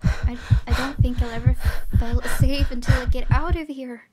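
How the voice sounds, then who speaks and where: A woman voicing a terrified, devout young heroine in a horror visual novel, narrating in a tense, breathy, dramatic way. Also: high-pitched, fairly even intonation, moderate pace, very noisy, close to an everyday voice. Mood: tense, urgent. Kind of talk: dramatic first-person storytelling. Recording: loud game music behind voice.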